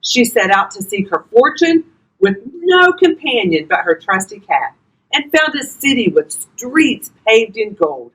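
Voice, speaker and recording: An older woman reading a children's storybook aloud expressively, talking directly to young listeners in a husky voice. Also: fairly high pitch, very animated, a little slow, a bit bright, poor audio. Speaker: volunteer grandparent reading to children.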